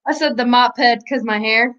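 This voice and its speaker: A woman's voice: fairly high pitch, normal speed, fairly bright tone. A woman chatting casually and playfully with friends in an online group conversation. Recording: muffled lo-fi voice-chat audio.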